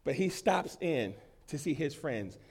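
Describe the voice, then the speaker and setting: Emphatic preacher with a lively, rising voice. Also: male voice, on the high side, a little slow, breathy edge. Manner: emphatic preaching, swings loud and soft, repeats phrases. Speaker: pastor. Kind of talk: sermon.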